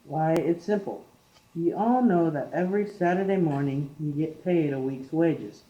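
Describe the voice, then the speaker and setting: Teenage boy playing crafty workman, stubborn, plain-spoken, dark mellow tone, dull echoey amateur radio-play recording. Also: fairly high pitch, normal speed, close to an everyday voice. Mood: matter-of-fact. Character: stubborn, cocksure Yorkshire working man, plain-spoken and crafty. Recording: muffled, echoey classroom-made amateur recording.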